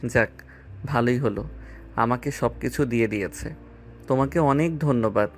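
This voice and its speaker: A man's voice: mid-range voice, normal speed, natural voice. A man playing a cocky, carefree young student boyfriend in an audio love story, with a casual, offhand manner. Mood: casual, puzzled. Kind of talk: acted dialogue in an amateur audio love story. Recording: voice over background music.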